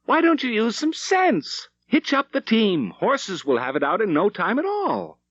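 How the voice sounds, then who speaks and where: A man's voice: high-pitched, huge pitch swings, unhurried, a bit bright, dry recording, lo-fi sound, voice pushed up, exaggerated voice. A man voicing a spirited, proud homesteader wife who scolds in a pert, emphatic way, in an audiobook. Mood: exasperated.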